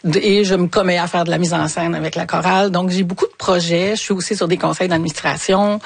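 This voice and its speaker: A woman's voice: on the low side, steady tone, fast, gravelly, slight background noise. A woman in her sixties with a Quebec French accent, speaking as a radio guest in a cheerful, talkative way. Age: 60s. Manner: enthusiastic, talkative. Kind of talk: upbeat radio conversation.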